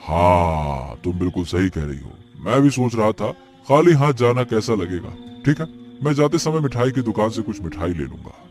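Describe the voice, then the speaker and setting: A man playing a struggling farmer in a cartoon moral story, a supportive friend with a measured, reassuring manner. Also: fairly low pitch, big pitch swings, a little mellow. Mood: reassuring, calm. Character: struggling taro farmer Mohan, supportive, reflective childhood friend. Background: faint music.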